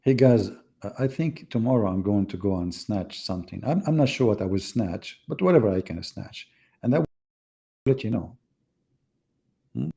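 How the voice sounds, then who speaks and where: Male livestream host, middle-aged, lowish pitch, dark tone, taunting sarcastic delivery. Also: lively intonation, normal speed, gravelly. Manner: sarcastic, taunting, rhetorical questions. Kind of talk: mocking livestream monologue.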